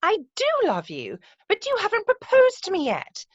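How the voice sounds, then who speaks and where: A woman's voice: very high voice, wildly swooping pitch, moderate pace, dry recording, full character voice. A woman playing a self-assured, romantic young upper-class lady in an amateur play read-through, speaking precisely and emphatically over a video call. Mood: coyly insistent. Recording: muffled, dull video-call audio.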